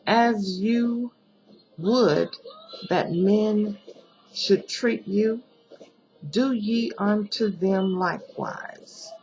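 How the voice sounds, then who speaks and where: A woman giving a solemn recitation of a protest poem, deliberate and pleading, pausing between short phrases. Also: mid-range voice, a little mellow, poor audio. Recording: music behind the voice. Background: music.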